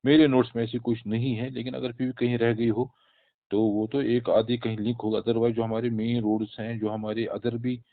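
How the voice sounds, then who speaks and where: A man's voice: medium pitch, flat delivery, normal speed. A man with a Kashmiri accent giving an official briefing over the phone, explaining things and mixing in English terms. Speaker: government official being interviewed. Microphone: phone call. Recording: narrow, tinny phone line.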